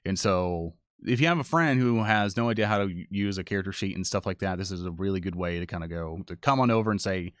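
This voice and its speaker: A man's voice: sits low, fast talker, hardly any pauses, no echo, studio quality. A man co-hosting a live stream, chatting casually and addressing the viewers as he goes. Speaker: co-host and social media producer of a live stream.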